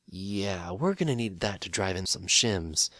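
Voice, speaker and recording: A man's voice: low-pitched, animated, measured pace, fairly bright tone, no echo, clean studio sound. A man voicing a practical, easygoing male handyman pony who talks in a casual, wry and matter-of-fact way.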